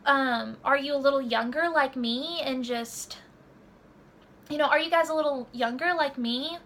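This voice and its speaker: A woman's voice: sits high, normal speed, fairly bright tone, a little hiss or hum. A woman in her early twenties chatting casually to her camera at home, rambling with many fillers and restarts. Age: 20s. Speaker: vlogger. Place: home room.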